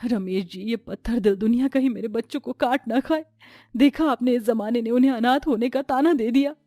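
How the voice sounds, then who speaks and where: A woman's voice: fairly high pitch, fairly quick, mellow, no echo, lightly acted. A woman voicing a cartoon ghost of a devoted mother, grieving and pleading. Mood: anguished. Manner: pleading, sorrowful.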